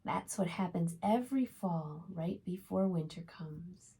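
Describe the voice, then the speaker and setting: A woman reading aloud to young children as a preschool storytime host, expressive and often putting questions to her listeners. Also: lowish voice, a little slow, slightly rough.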